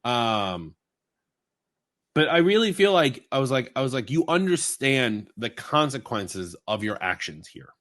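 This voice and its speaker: A man from Long Island, co-hosting a podcast in rambling, animated casual chat. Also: mid-range voice, unhurried. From Long Island, New York. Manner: rambling, animated storytelling with fillers and asides. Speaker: podcast co-host.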